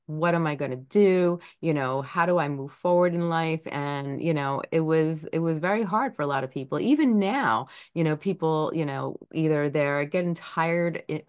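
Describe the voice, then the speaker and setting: A woman's voice: sits low, clean tone. A woman hosting a podcast over a video call, asking long questions with many fillers. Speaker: podcast host. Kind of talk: interview host. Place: home room. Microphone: video call webcam.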